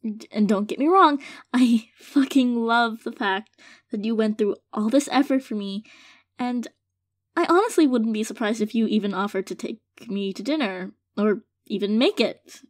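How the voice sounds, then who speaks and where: A woman's voice: a little high, dry recording, lightly acted. A woman playing an affectionate, hesitant girlfriend in an intimate audio roleplay, speaking sincerely and tenderly to her partner. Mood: gently explaining. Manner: soft-hearted, hesitant, halting, gently sincere. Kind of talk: intimate audio roleplay, casual monologue to listener.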